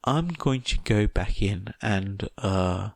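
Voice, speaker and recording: A man's voice: fairly low pitch, lively intonation, slow, vocal fry, dry recording, clean studio sound, heavily acted. A man voicing a nervous, polite teenage boy who talks carefully and sweetly in an audiobook story. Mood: polite, coaxing. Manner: hesitant, placating, polite.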